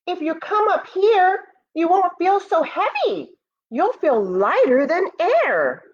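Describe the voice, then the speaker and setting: A woman's voice: very high-pitched, very animated, slow pace, highs cut off, voice pushed up, full character voice. A woman voicing a lonely, hopeful moon in a children's storybook, calling out in an inviting, wistful way. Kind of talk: children's storybook read-aloud.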